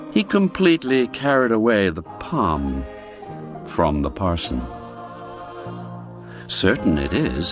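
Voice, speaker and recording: A man narrating a classic literary tale in a measured, expressive storyteller's manner. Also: fairly low pitch. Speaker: narrator and audiobook reader.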